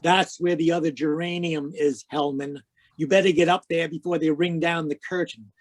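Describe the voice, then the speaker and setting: An actor playing a world-weary, wisecracking private detective in 1940s radio noir style. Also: male voice, on the high side, fairly even intonation, moderate pace, no echo, lightly acted. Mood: wry, brooding. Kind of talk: 1940s radio noir acting, first-person narration. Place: home room, live video call performance.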